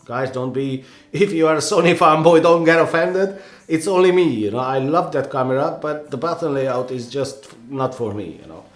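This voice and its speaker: A man's voice: a little high, normal speed. Earnest Hungarian-accented man in echoey room. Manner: earnest, rambling, many restarts and "you know"s. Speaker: photographer giving advice. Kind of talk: casual advice vlog.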